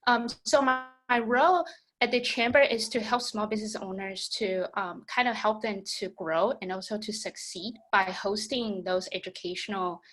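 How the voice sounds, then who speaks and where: A woman's voice: medium pitch, moderate pace, fairly bright tone, poor audio. A young woman from Taiwan, English not her first language, presenting programs in an online meeting with frequent fillers. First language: Mandarin Chinese or Taiwanese. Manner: friendly, filler-heavy, explaining programs. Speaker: business program manager. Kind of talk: virtual presentation.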